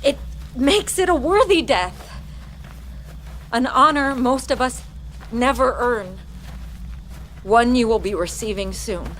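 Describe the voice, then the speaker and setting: A woman's voice: on the high side, lively intonation, slow, long pauses, a bit bright, a little hiss or hum. An actress playing a zealous, unyielding woman on a mission, speaking with solemn insistence in a naturalistic audio drama. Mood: fervent.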